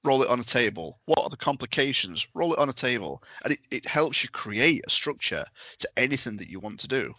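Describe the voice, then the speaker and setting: A man's voice: medium pitch, big pitch swings, fairly quick, sharp tone, no room sound. A man on a podcast panel chatting enthusiastically in a casual review discussion.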